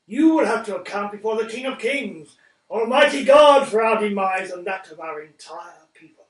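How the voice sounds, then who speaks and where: An actor playing a defiant Cossack leader who gives a passionate speech to a tsar in a radio play. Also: male voice, high-pitched, expressive, normal speed. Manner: impassioned oratory. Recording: roomy, distant from the mic.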